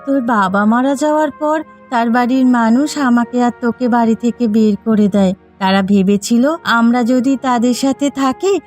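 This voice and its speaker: A woman voicing a poor widowed village mother in an animated story, gentle, tender and long-suffering. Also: fairly high pitch, natural voice. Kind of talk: animated moral story voice acting.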